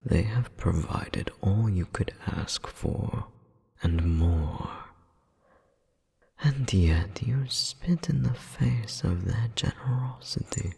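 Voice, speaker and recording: A man performs a condescending royal flame demon in an audio drama, his voice very low and full of gravel. He speaks with haughty, measured formality, breathy and cold, in a long, reverberant hall. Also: a little mellow, full character voice. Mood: reproachful, haughty. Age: adult, centuries-old demon. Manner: formal, haughty, slow and deliberate. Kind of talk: dramatic audio roleplay monologue.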